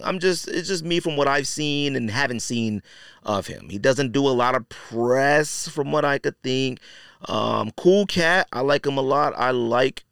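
A man talks as a podcast host in a smooth, clear, medium-pitched voice. His delivery is colloquial and opinionated, leaning on repeated phrases to drive points home. The sound is dry, with hardly any room to it. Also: a bit bright, dry recording. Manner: emphatic, repeats phrases for stress. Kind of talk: casual opinion commentary on sneakers.

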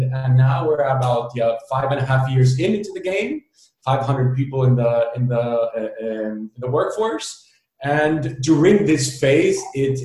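A man's voice: mid-range voice, darkish tone. Candid man speaking in a very echoey room. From El Salvador. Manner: candid, fast-paced, hesitant restarts and fillers. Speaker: founder, guest. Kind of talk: casual interview talk.

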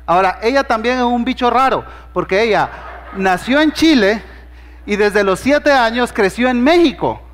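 A man's voice: very high voice, lively intonation, normal speed, long reverb tail. A man with a Honduran Spanish accent preaching a sermon as pastor, conversational and humorous, acting out bits of dialogue in his stories. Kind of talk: sermon with storytelling.

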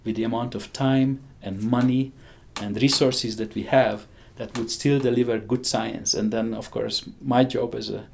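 A man's voice: medium pitch, fairly even intonation, moderate pace, gravelly, hardly any pauses, a little hiss or hum. A man in his sixties with a Hungarian accent, talking enthusiastically in an interview, chuckling often and restarting his sentences. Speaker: physicist interviewee. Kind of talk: conversational interview.

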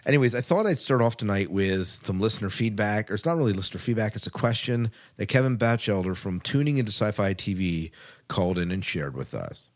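A man's voice: fairly low pitch, lively intonation, fairly quick. A man co-hosting a podcast in a casual, informal way, often rephrasing himself as he chats. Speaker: podcast co-host.

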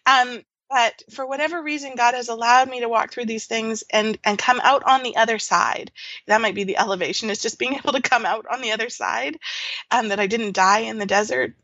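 A woman in her forties, a podcast guest telling her story in a casual, reflective way, often laughing softly and restarting with fillers. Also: on the high side, fairly quick, dry recording, dull audio. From Canada. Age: 40s.

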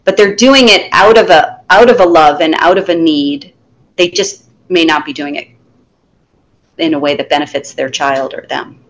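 A woman's voice: deep voice, moderate pace, low-quality recording. A middle-aged woman, a teacher being interviewed, talking in a casual, firm and self-assured way with a strong voice and easy laughs.

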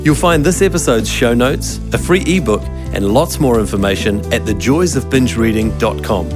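A man announcing a podcast's opening with smooth, scripted delivery. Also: medium pitch, lively intonation, normal speed. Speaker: show announcer. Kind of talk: scripted show intro. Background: clear music.

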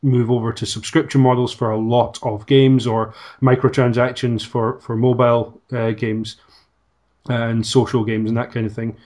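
A man in a podcast conversation, speaking in a measured, thoughtful way. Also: medium pitch, level tone, a little mellow. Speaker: podcast guest. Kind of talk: reflective conversation.

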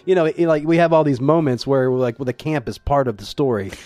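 A man co-hosting a casual movie podcast, animated and profane, acting out lines as he talks. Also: medium pitch, quick pace, no echo. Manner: animated, profane, acts out quotes. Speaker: podcast co-host. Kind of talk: casual movie review chat.

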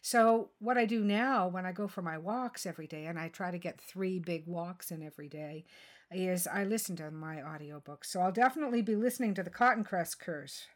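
A woman's voice: lowish voice, fairly quick. A woman podcast host talking in a chatty, encouraging, conversational interview style.

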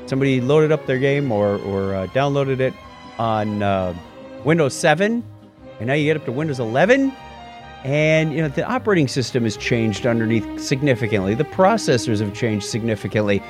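A man's voice: mid-range voice, fairly quick, faint music behind. A man co-hosting a casual tech podcast, rambling and correcting himself as he talks. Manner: rambling, self-correcting, lively. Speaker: podcast co-host.

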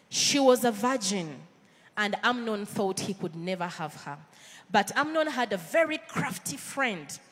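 A woman's voice: mid-range voice, fairly bright tone, big-room sound. A woman with a Ugandan accent preaching a sermon in church, earnest and conversational, with frequent fillers and restarts.

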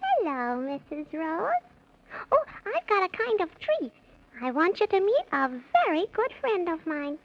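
A woman voicing a small, eager, excitable male squirrel with a squeaky voice in a rhyming 1930s children's radio play. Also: a little slow, exaggerated voice. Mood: polite, eager. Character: small eager cheerful male squirrel, good-natured, scatterbrained. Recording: muffled, faintly noisy 1930s radio recording.

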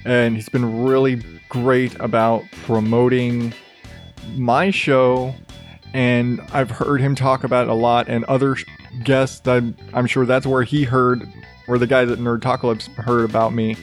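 A man's voice: mid-range voice, a little slow. Guy with a soothing voice doing his podcast solo, swears a lot, loose and rambly, bit of music under him. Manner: swears freely, relaxed and rambling. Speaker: podcast host. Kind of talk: casual solo podcast chat.

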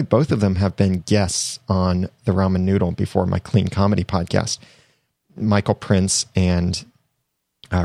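A man's voice: on the low side, vocal fry, darkish tone, no room sound, studio quality. A young man hosting his own podcast, chatty and upbeat, talking through tips while getting over a cold. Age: young adult. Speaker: podcast host. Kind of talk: solo podcast tips monologue.

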